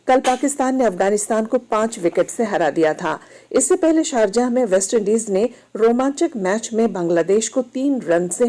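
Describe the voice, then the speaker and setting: A woman reads a scripted news bulletin as a radio newsreader, in a formal, measured manner. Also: medium pitch, mellow.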